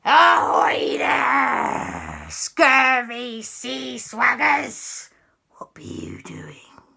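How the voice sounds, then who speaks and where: Woman putting on a blustering, slow ghost pirate captain. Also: high-pitched, wildly swooping pitch, creaky, sharp tone, slightly rough, pitched above the performer's own voice, exaggerated voice. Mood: menacing. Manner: archaic pirate speech, drawn-out menacing delivery.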